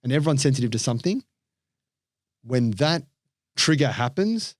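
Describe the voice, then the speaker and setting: A man with an Australian accent tells his stories with energy as a podcast guest, slipping into the voices of the people he quotes. His pitch sits in the middle range. The sound is dry and close to the mic. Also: normal speed, no echo. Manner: animated storyteller, acts out quoted voices. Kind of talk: storytelling interview chat.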